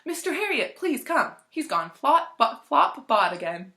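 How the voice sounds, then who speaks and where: A gushing old society widow from a storybook, played by a woman reading aloud. She is fretful and doting, with a bright, high voice. Her delivery is dramatic and effusive, swooping into wails. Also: very animated, unhurried, roomy sound, heavily acted. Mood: agitated. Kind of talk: storybook read-aloud character voice.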